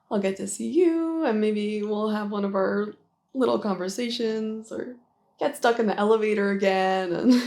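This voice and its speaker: A woman's voice: medium pitch, gravelly, a little mellow. A woman playing a shy, flustered young office worker confessing a crush in a nervous, rambling, giggly ASMR roleplay. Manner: nervous, halting, rambling, giggly, self-deprecating. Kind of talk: intimate ASMR roleplay monologue.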